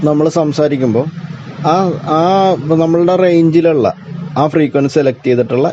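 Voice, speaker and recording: A man with a Malayali accent gives an informal tutorial, explaining in a halting way with repeats and pauses. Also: a little high, warm tone. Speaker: presenter of a tech explainer. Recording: dull lo-fi audio with clear background noise.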